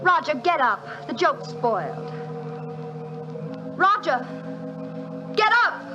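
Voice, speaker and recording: An actress playing a lively young American wife in a 1940s radio drama, with a high, breathless way of talking. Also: female voice, takes it slowly, heavily acted. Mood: alarmed. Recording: dull 1940s radio broadcast with music.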